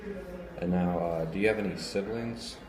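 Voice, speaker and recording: A man's voice: sits low, fairly quick, over music. A man interviewing a guest, asking plain questions with a few fillers. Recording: echoey room with crowd noise. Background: crowd chatter.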